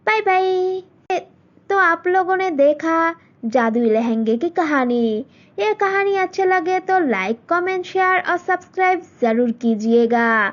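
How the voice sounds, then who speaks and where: A woman narrating a cartoon story in a plain, slightly halting way. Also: extremely high pitch, expressive. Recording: dull lo-fi recording.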